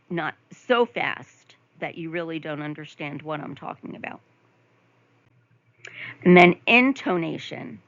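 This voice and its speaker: A woman instructor giving a conversational recorded lecture over slides, with frequent ums, asides, and throat clearing. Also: low-pitched, fairly bright tone, dull audio. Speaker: nursing instructor.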